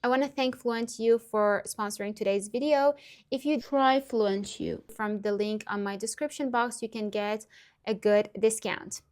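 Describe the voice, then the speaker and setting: A woman's voice: a little high, fairly even intonation, measured pace, a little mellow, smooth. A woman in her twenties from Iran, whose first language is Persian, giving earnest, upbeat language-learning advice to camera with frequent small laughs. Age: 20s. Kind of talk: direct-to-camera tutorial vlog.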